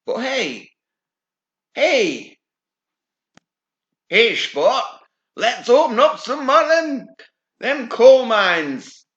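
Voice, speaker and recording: Man doing a gleeful mock Aussie cheering coal mines: high, brash, twangy. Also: big pitch swings, extremely slow, pauses often, fairly bright tone, reverberant, muffled recording, heavily acted.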